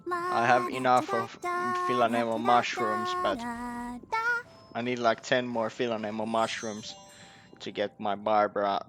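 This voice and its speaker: Guy casually narrating his gameplay, lowish voice, really slow n halting, game music behind him. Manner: halting, thinking aloud. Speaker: video game streamer.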